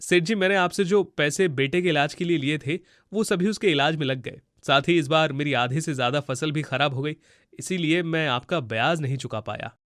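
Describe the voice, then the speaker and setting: A man plays a poor, troubled farmer from a cartoon tale. His voice is fairly high and dryly recorded, with an earnest, pleading manner and a gentle fatherly temper. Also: normal speed, no room sound, clean studio sound, natural voice.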